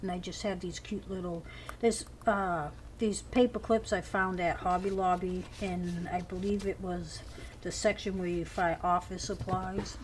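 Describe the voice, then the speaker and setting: A woman's voice: lowish voice, level tone, a little slow. A woman with a scratchy voice, chatting casually as she shows off each page of a handmade journal at home. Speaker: crafter showing a handmade journal. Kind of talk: casual show-and-tell.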